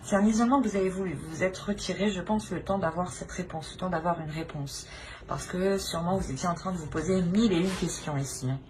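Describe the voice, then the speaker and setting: A woman's voice: lowish voice, fast talker. A woman giving an unscripted tarot reading to viewers, hesitant, repeating phrases and often tagging on a "hein". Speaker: tarot reader hosting her channel. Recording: noticeable background noise.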